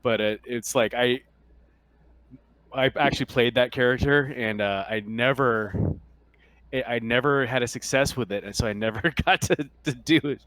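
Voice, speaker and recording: A man's voice: mid-range voice, moderate pace, a bit bright, dry recording, rough recording. A man chatting casually as the game master of a tabletop roleplaying game. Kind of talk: casual chat.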